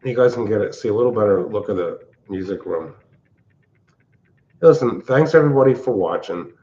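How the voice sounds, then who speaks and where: An older man, hosting a casual livestream from his open-plan home, talking in a loud, blunt, rambling way. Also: lowish voice, lively intonation, moderate pace, muffled recording. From Pennsylvania. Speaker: livestream host. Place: large open-plan house at night.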